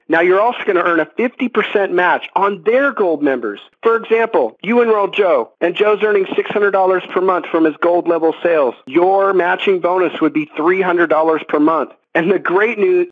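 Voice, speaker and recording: Guy w husky highish voice doing a sales pitch on a conference call, tinny phone sound. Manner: direct, addresses listeners with rhetorical questions. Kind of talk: persuasive scripted sales pitch. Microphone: telephone conference line.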